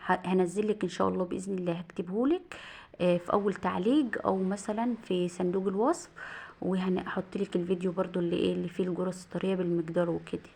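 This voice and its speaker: A middle-aged woman with an Egyptian accent chatting casually to her viewers as a cooking vlogger, rambling with many fillers, her voice a little hoarse and tired. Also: on the low side, steady tone, racing, a little mellow. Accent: Egyptian Arabic.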